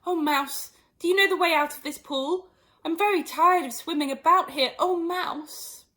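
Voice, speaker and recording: A woman's voice: extremely high pitch, moderate pace, pushed and bright, pitched above the performer's own voice. A woman voicing a polite, chatty, curious little girl in a storybook, earnest and eager in manner. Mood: hopeful, pondering.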